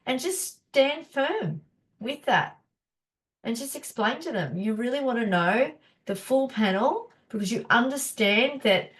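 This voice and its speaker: A woman's voice: a little high, expressive, unhurried, low-quality recording. A woman, a naturopath hosting a casual live teaching session, chatty and encouraging, her nose stuffy and blocked up. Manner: chatty, encouraging, many fillers.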